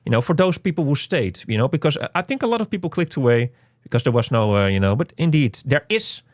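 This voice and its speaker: A man's voice: medium pitch, big pitch swings, fast talker, a little mellow, no echo, clean studio sound. A man co-hosting a casual game review chat, rambling and joking with many restarts. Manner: rambling, jokey, self-interrupting. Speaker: co-host of a game review show.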